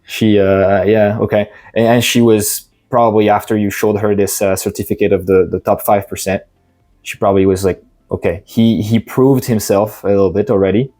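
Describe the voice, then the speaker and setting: Male host, low flat voice, halting questions, faint music behind. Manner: halting, rephrases questions, many uhs. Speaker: interviewer. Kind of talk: interview questions.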